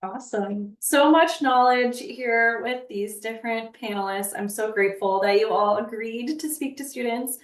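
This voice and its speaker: A woman's voice: a little high, moderate pace, hardly any pauses. Woman moderator, distant mic, roomy echo, polite, grateful. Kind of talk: panel moderation.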